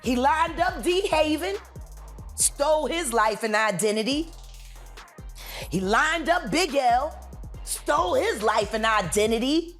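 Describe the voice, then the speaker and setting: A woman's voice: high voice, lively intonation, slow pace, fairly bright tone, faint music behind. A woman giving an impassioned, accusatory rant with emphatic, repeated phrasing.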